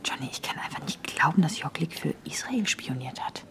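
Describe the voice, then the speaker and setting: An actress playing an earnest young German woman in a radio drama, talking in an urgent hush. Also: deep voice, expressive, a little fast, gravelly, in a whisper, exaggerated voice. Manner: hushed, urgent.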